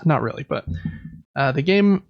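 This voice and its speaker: A man's voice: fairly high pitch, animated, normal speed, creaky, no room sound, muffled recording. A man hosting a podcast, chatting casually and explaining games with enthusiasm. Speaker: podcast host. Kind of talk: casual board game review chat.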